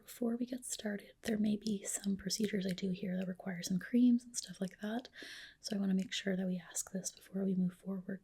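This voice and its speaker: Breathy whispering ASMR woman. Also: mid-range voice. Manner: gentle, polite, reassuring professional. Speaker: ASMR host roleplaying a dermatologist. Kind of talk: soft whispered ASMR personal-attention roleplay.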